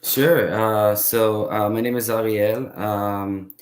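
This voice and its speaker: Hesitant man with low voice. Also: fairly even intonation, slow. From Israel. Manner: hesitant, many fillers. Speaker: guest, tour guide. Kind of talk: casual interview talk.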